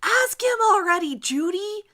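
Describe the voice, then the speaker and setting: A woman voicing an aggressive, jealous high school girl, loud and sneering, in a dramatic story reading. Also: lively intonation, measured pace, full character voice. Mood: impatient, yelling.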